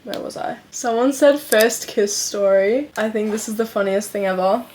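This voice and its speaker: A woman's voice: medium pitch, moderate pace, a little mellow, slight background noise. An 18-year-old woman from Slovenia chatting through a get-ready-with-me vlog at home, upbeat and full of "like". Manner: chatty, upbeat, filler-heavy ("like"). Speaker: beauty vlogger.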